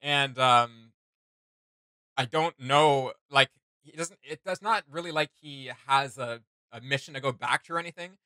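Male reaction host, thirties, forceful bright delivery, slangy and rambling, dry close sound. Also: mid-range voice, unhurried, dry recording. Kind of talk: casual reaction commentary. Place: small indoor home studio room.

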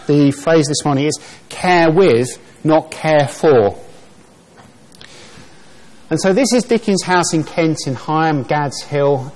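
A man's voice: on the high side, a little mellow. A man presenting at a lectern on a conference stage, explaining in a plain, friendly way. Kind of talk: conference talk.

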